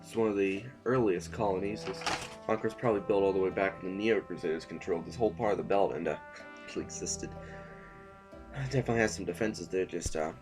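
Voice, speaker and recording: A man's voice: low voice, fast, music in the background, close to an everyday voice. A man playing an affable, hesitant sovereign who rambles politely in a science-fiction audio drama. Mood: musing, casual. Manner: chatty, hesitant, eager to smooth things over. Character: affable, rambling male sovereign of a space fiefdom.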